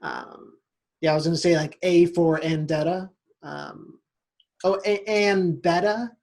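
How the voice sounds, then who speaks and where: A man's voice: fairly high pitch, fairly even intonation, unhurried, creaky, darkish tone. A man co-hosting a casual podcast, joking and rambling with lots of restarts. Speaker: podcast host. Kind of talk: casual chat among friends.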